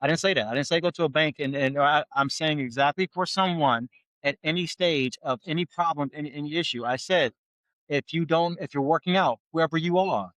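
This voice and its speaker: A man's voice: on the high side, fairly quick, fairly bright tone, dry recording, poor audio. Emphatic man in his forties, close and dry. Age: 40s. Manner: emphatic, repetitive, stammers on restarts. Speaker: podcast co-host. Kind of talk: casual motivational chat.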